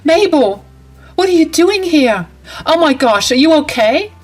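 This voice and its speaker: A woman's voice: mid-range voice, expressive, moderate pace, a bit bright, soft background music. A woman voicing a caring young bride who speaks up firmly and indignantly to protect someone. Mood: worried.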